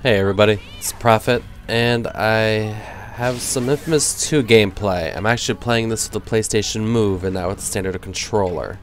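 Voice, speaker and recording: Man, 30s, nasal from a cold, rambling casual game commentary, lowish voice, faint music behind. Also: moderate pace, hardly any pauses. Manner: rambling, self-deprecating. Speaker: gaming commentator.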